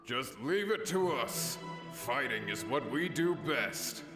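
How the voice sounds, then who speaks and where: Loyal fervent samurai, formal speeches, echoey, loud game music behind. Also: male voice, mid-range voice, lively intonation, slow pace. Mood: fervent, proud. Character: devoted male samurai warrior, brave and fervent. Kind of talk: dramatic anime game voice acting. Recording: echoing reverb under game music.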